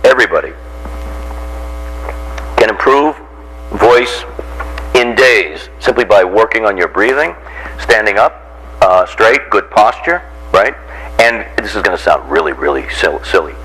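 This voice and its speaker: Low-voiced American man coaching a group. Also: a little slow, long pauses, a little hiss or hum, rough recording. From Randolph, near Boston, United States. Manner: jocular, animated teacher who acts out examples and puts questions to listeners. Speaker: voice coach giving a workshop. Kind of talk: informal instructional talk to a group.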